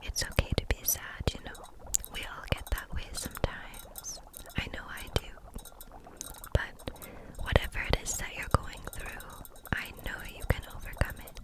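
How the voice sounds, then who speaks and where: Softly whispering woman as gentle witch. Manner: soothing, reassuring, unhurried. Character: gentle, kindly female kitchen witch making potions. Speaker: ASMR roleplay creator. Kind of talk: whispered ASMR roleplay.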